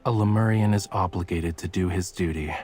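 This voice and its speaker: A man playing a reserved, dutiful sea god in disguise, speaking in a formal, measured way. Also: sits low, a little mellow, faint music behind, close to an everyday voice.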